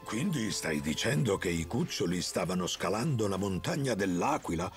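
A man voicing a wise old bear in a children's cartoon, grandfatherly and solemn, with a gravelly voice. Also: sits low, big pitch swings, moderate pace, faint music behind. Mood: worried, regretful.

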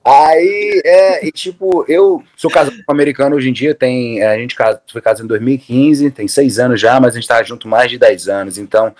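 Brazilian man, 30s, video-call guest, relaxed, rambly with restarts. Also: medium pitch, a little fast, hardly any pauses. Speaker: interview guest, MMA coach. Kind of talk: casual video-call interview. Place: room at home, indoors.

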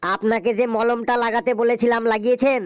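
An actor playing a sarcastic, exasperated doctor in an animated comedy sketch, with a theatrical, scolding delivery. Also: mid-range voice, a little fast, clean tone, lightly acted. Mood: brisk, dismissive. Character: exasperated, sarcastic cartoon doctor fed up with silly patients.

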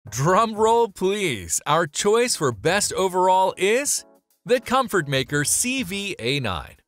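A man's voice: high-pitched, sing-song, slow pace, a bit bright, dry recording. A man reading a scripted product review as voice-over, upbeat and emphatic on transitions. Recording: voice-over with faint background music.

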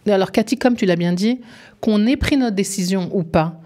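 A woman's voice: mid-range voice, moderate pace, mellow. A woman in her fifties, an employment advisor, explaining things earnestly and persuasively in a radio studio interview. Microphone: studio microphone.

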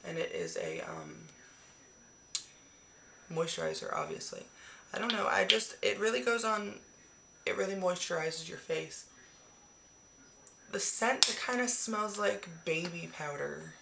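A young woman in her twenties talks through her makeup favorites in a rambling, casual way, still hoarse from the flu. Her voice sits a little low and breaks into gravelly fry. She is recorded on a webcam in a small bedroom with a faint hiss behind her. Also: very animated, a little slow, pauses often. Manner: chatty, swears casually, rambling. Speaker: beauty vlogger. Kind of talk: casual monthly favorites chat. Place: small decorated bedroom, indoors. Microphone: webcam at arm's length.

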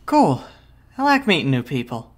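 A woman's voice: low voice, sing-song, moderate pace, slight background noise. A woman voicing a plucky, blunt and stubborn young boy in a comic dub drama.

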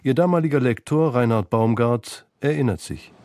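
A man's voice: mid-range voice, moderate pace, a little mellow, no room sound, studio quality. A man reading scripted narration for a radio feature about literature. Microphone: close studio microphone.

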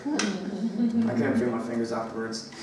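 A man's voice: medium pitch, very animated, a little slow, background noise. Rambling young man at an open mic. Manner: rambling, hesitant, many "like"s. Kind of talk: casual stage banter before a song. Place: small gallery room, indoors. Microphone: stand mic, filmed by a distant camera. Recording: echoey room from a distant camera, guitar.

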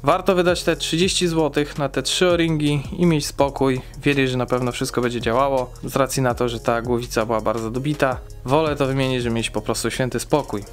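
A man's voice: mid-range voice, fairly even intonation, brisk, few pauses, faint music behind. Young man chatting through an engine repair. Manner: chatty, explains step by step with fillers. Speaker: car repair vlogger. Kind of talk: casual how-to explanation.

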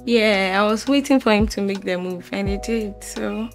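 A woman's voice: medium pitch, moderate pace, close to an everyday voice. A woman playing a young woman who brushes off questions about her date and teases her friend. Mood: teasing. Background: soft music.

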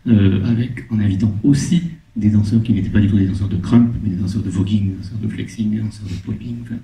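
A man's voice: lowish voice, fast talker, mellow, distant mic. A middle-aged man speaking onstage with a handheld microphone at a film screening, talking casually to the audience with many restarts and fillers. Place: large cinema hall, on stage. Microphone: handheld microphone over the hall PA. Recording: echoey hall heard from afar.

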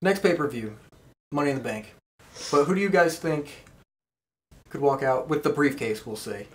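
A man's voice: medium pitch, expressive, moderate pace, music in the background. A man co-hosting a wrestling podcast, talking casually as a fan. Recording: clear background noise, room ambience.

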